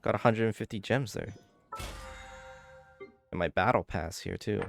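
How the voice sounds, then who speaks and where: A young man giving casual gameplay commentary, low-key and thinking aloud as he goes. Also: moderate pace, creaky. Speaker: gaming video creator. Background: game music.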